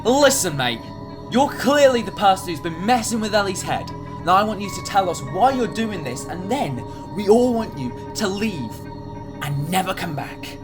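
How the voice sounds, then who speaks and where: A woman's voice: medium pitch, sing-song, moderate pace, natural voice. A woman playing a bold, protective, hot-headed college student in an amateur audio drama, speaking confrontationally. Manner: confrontational, forceful wording. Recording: music behind the voice.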